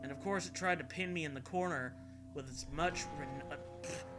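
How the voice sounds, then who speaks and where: A man's voice: fairly high pitch, brisk, over music. A man reading a story aloud as a host, in a comedic dramatic style with casual, irreverent, sweary asides. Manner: irreverent, sweary, often breaks into laughter.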